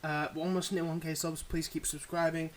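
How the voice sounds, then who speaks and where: A man's voice: on the high side, little pitch movement, a little fast, a little hiss or hum. Male audio creator, off-script casual chat; rambling, informal, freely swearing.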